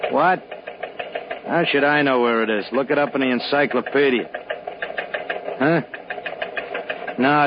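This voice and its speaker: A man's voice: fairly high pitch, close to an everyday voice. Man playing a skeptical American grumbler, noisy recording. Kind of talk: 1950s radio drama acting. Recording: noisy, dull 1950s radio recording.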